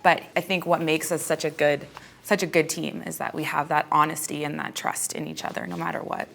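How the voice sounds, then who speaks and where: Young woman with a gravelly voice. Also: sits low, flat delivery, a little fast, fairly bright tone. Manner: casual, chatty, with fillers like "like". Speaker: interviewee, athlete coached by her father. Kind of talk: documentary interview.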